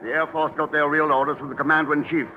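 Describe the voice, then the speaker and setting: An actor playing a calm, confident male secret agent, speaking in a measured way, 1940s radio-drama style. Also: on the high side, lightly acted. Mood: triumphant. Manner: measured, unruffled. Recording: tinny old radio recording with faint hiss.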